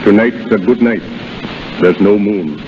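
A man playing a brisk, authoritative army colonel in a 1930s radio drama. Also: on the low side, lightly acted. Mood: brisk, commanding. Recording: muffled, noisy 1930s radio, long reverb.

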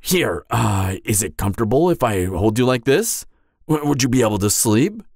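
A man's voice: mid-range voice, big pitch swings, moderate pace, a little mellow, dry recording, studio quality. A man voicing a friendly, clumsy, apologetic giant in a roleplay audio drama, talking in a hesitant, flustered ramble. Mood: soothing.

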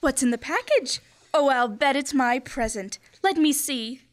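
A woman's voice: high voice, lively intonation, a little slow. A young woman playing an affectionate, eager housewife in old-time radio comedy. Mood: affectionate, excited. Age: teens or young adult. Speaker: high school student actor. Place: school library room. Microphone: stand microphone.

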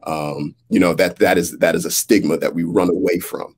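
An American man in his thirties in reflective conversation, speaking in a halting, thoughtful way as a playwright. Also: sits low, fairly even intonation, a little mellow, breathy edge. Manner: halting, thoughtful, often checks in with "right?". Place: indoors, on a video call. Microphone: video call.

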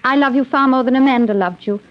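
A woman's voice: sits high, fast talker, highs cut off, close to an everyday voice. A woman playing a clingy young bride. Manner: coaxing, breathless and needy.

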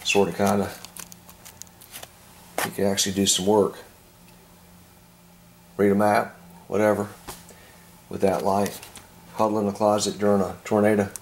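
A man hosting a casual hands-on product review, talking in a folksy, informal, halting way. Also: on the low side, a little mellow. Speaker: host of a product review video channel. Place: at a tabletop, later out in a backyard. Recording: clear background noise, home camera recording.

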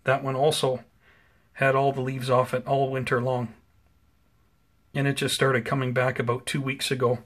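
A man giving a casual, unscripted plant update in an unhurried, explanatory, conversational way, recorded indoors on a handheld camera. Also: medium pitch, completely flat delivery, a bit bright. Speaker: host of a plant-growing video channel. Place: indoors at home beside potted seedlings.

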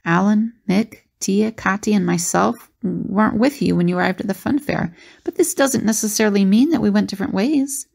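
A calm, perceptive woman acted by a woman in an audio drama. She sounds composed and curious, with a mid-range voice that creaks noticeably. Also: mid-range voice, normal speed, few pauses. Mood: concerned, probing.

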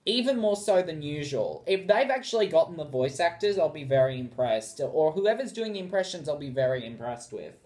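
A man's voice: fairly high pitch, animated, brisk, few pauses, darkish tone. A man in his twenties giving casual, sarcastic and campy reaction commentary, recorded indoors a short way from the mic. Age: 20s. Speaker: reaction video host. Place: small bright room indoors.

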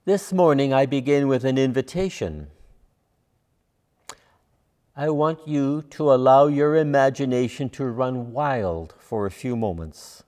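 An older man preaches from a prepared text in a large, echoing church. His delivery is very slow and deliberate, with pauses inside phrases, and his voice sits at a medium pitch. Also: a little mellow. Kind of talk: sermon, scripted and measured. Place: large church sanctuary, at a lectern. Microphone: lapel mic. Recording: long church reverb.

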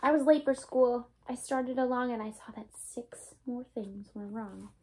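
Casting: woman, twenties, slightly high voice, expressive read-aloud for small children, some background noise. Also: unhurried. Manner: expressive, addressing children directly. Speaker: childcare worker reading to children. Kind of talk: read-aloud picture book storytelling for young children. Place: small room indoors.

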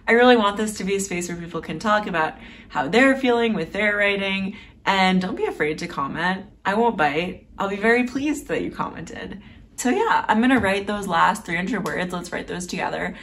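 Young female vlogger, informal and self-deprecating, mid-pitched, speaking to camera from a little distance in a home room. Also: a bit bright, a little hiss or hum. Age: young adult. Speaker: novelist vlogger. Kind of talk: casual vlog chat to camera. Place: small home room with desk.

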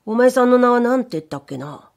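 A woman voicing a rough-spoken old craftsman who talks bluntly. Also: fairly high pitch, animated, fast talker, a little mellow, voice pushed up. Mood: gruff.

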